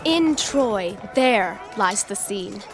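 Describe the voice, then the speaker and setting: A woman playing an armed prologue, a wry herald of war narrating Shakespearean verse in an audio drama in a measured, theatrical way. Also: medium pitch, expressive, loud music behind the voice. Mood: grave, portentous.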